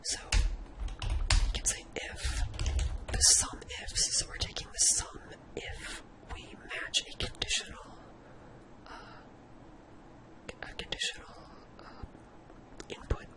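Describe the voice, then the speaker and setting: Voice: slow, pauses often, rough recording. Someone whispering an informal ASMR-style spreadsheet tutorial, thinking aloud while demonstrating each step.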